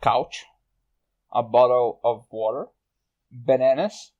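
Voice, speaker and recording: A man hosting a casual group podcast chat, speaking haltingly and repeating his words. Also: mid-range voice, darkish tone, lo-fi sound. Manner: halting, repeats words, often breaks into laughter. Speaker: podcast host. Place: remote group voice call.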